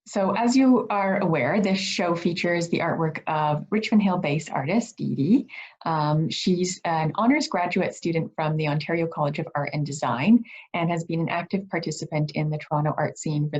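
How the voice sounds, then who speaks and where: A woman's voice: low-pitched, darkish tone, husky, muffled recording. A woman, a gallery director, hosting a virtual reception over a video call and reading an introduction with frequent fillers.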